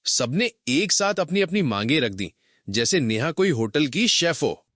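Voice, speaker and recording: A man narrating a cartoon story in an expressive, dramatic way. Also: fairly high pitch, a little slow, dry recording, studio quality.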